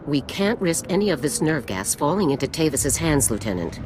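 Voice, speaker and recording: A woman's voice: low-pitched, sing-song, a little fast, a little mellow, slight background noise. A woman acting a stern middle-aged female general in a video game, clipped, formal and authoritative. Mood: brisk, commanding. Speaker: military commander. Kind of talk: scripted game dialogue.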